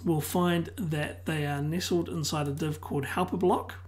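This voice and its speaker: A tutor losing their voice who keeps clearing their throat, calmly explaining a software tutorial one step at a time. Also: medium pitch, normal speed. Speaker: web design tutor. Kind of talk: screen-recorded software tutorial.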